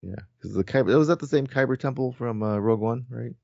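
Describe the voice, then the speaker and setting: A man's voice: mid-range voice, fast, creaky, warm tone, dry recording, highs cut off. A man co-hosting a casual chat, speaking hesitantly as he recalls details. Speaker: podcast co-host.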